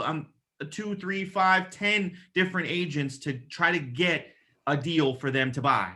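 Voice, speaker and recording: A man with a slightly high, bright and punchy voice coaches listeners like a real estate agent. He is casual and emphatic, mildly profane, and slips into example phone pitches, often checking in with a quick question. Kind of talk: conversational coaching with acted-out example phone calls.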